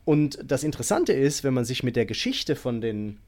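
Podcast co-host, man, mid-pitched, chatty explainer with plenty of hesitations. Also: big pitch swings, moderate pace, darkish tone. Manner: explanatory, many fillers. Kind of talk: casual podcast chat.

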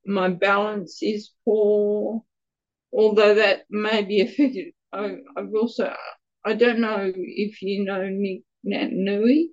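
A woman, a guest on an interview, speaking in a quiet, gravelly voice and stumbling a little over her words. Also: medium pitch, level tone, slow pace, highs cut off. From Australia. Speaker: guest with Parkinson's. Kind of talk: casual interview answers.

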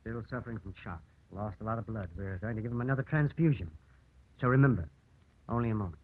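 An actor playing a brisk, firm male hospital doctor in a vintage radio drama. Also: lowish voice, natural voice. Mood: businesslike. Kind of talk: 1940s-50s radio drama acting. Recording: tinny old radio broadcast, faint noise.

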